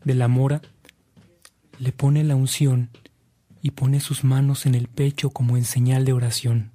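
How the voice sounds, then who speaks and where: An actor playing a solemn, restrained storyteller, narrating a radio drama in a measured way. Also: male voice, mid-range voice, level tone, dark tone, no room sound, natural voice. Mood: solemn, hushed.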